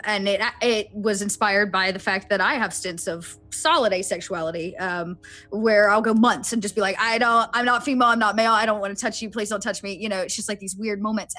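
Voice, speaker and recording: A woman's voice: mid-range voice, fairly bright tone. Chatty woman sharing roleplay advice. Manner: chatty, lots of "like" and "you know". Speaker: player. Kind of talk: casual chat giving tips.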